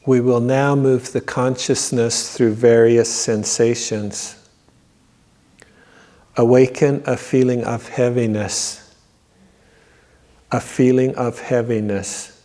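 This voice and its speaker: A man leading a guided yoga nidra meditation in a measured, repetitive, instructing manner. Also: mid-range voice, steady tone, dark tone. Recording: long reverberant echo on voice.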